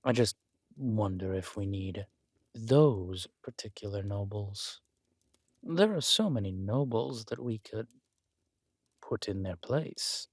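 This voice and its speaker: A man's voice: fairly low pitch, lively intonation, slow pace, no room sound, studio quality, full character voice. A man playing a scheming, flirtatious villain king in an intimate roleplay audio drama, with a languid, teasing, menacing delivery. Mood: reluctant, brooding.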